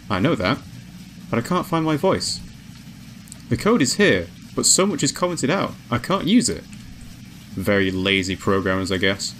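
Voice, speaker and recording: A man voicing a newly awakened, curious female android in a visual novel, measured and introspective. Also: mid-range voice, fairly bright tone, slight background noise. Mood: contemplative. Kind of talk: visual novel character narration. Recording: music behind the voice.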